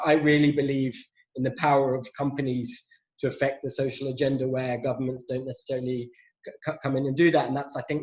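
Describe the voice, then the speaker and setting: A man's voice: medium pitch, a little mellow, roomy sound, muffled recording. A man guest in a conversational interview, talking fluently with many fillers and restarts. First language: English. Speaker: interview guest, LGBT tech group director and lawyer.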